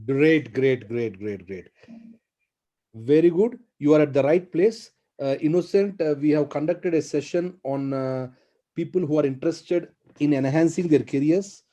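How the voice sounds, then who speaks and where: Upbeat webinar host, very bright tone. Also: male voice, a little high. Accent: African English. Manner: encouraging, repeats praise words. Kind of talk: online webinar Q&A. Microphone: video call.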